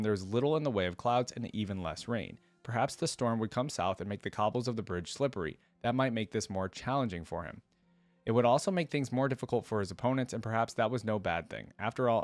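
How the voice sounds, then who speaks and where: A man hosting a reaction video, reading lore aloud and adding casual, informal asides as he thinks out loud. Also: lowish voice, no room sound.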